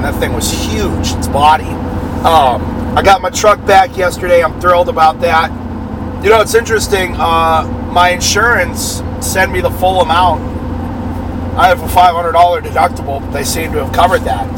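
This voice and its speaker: A man in his thirties hosting a podcast from inside his truck, rambling bluntly and cursing freely. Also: fairly high pitch. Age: 30s. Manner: blunt, profane, rambling with restarts. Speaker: podcast host. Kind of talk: casual rambling monologue. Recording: loud road noise from a moving vehicle. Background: road and vehicle noise.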